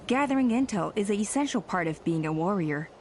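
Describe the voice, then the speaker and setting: A woman's voice: mid-range voice, animated, a little mellow, slight background noise, natural voice. Woman playing a dignified, courteous warrior elder. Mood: gracious. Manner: formal, measured, earnest. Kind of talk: anime game voice acting.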